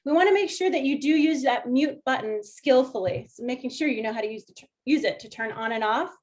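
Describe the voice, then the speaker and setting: A woman with a high, light voice opens an online workshop, talking over a video call. She is welcoming and gently instructive, and she walks people through each step. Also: brisk. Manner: warm, inviting, gently instructive. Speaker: workshop facilitator.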